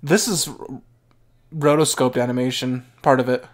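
A man's voice: mid-range voice, fairly even intonation, moderate pace. A young man hosting a casual anime reaction, thinking aloud as he watches, with restarts and asides, recorded on a headset in a bedroom. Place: bedroom at home, indoors.

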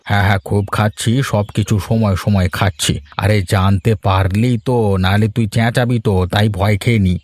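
A man's voice: on the low side, steady tone, normal speed, hardly any pauses, a little mellow, close to an everyday voice. A man voicing a genial ageing father-in-law in a cartoon, easygoing, agreeable and meek with his daughter. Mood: meek, fond. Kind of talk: Bengali cartoon dialogue dub.

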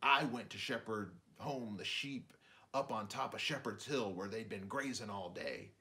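A man's voice: lowish voice, measured pace, pushed and bright. A man voicing an agitated rustic rabbit father in a children's storybook, talking in folksy, ungrammatical country phrases. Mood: uneasy, recounting.

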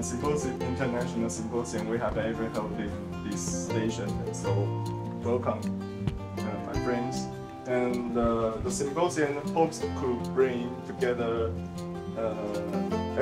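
Brief: male emcee, formal welcome with fillers, echoey hall, music bed. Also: medium pitch. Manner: formal but halting, with fillers. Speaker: event host. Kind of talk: opening welcome speech.

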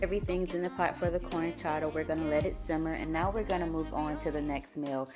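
A woman's voice: low-pitched, steady tone, quick pace, music in the background. A young American woman vlogging about her cooking in an informal, conversational way. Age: young adult. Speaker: vlogger, host. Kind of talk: casual cooking vlog talk. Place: home kitchen, indoors.

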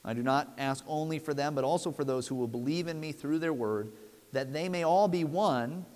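A preacher with a slightly high-pitched male voice delivers an expository sermon. He speaks earnestly and deliberately, repeating phrases for emphasis. His lapel-miked voice carries a long reverb from the church sanctuary. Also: darkish tone. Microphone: lapel mic.

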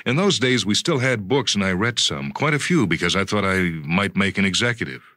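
A man playing an earnest, restless aging sports champion in a story, speaking in a halting, tentative way. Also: on the low side, lively intonation, bright, forceful tone, no room sound, studio quality. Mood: earnest, hesitant.

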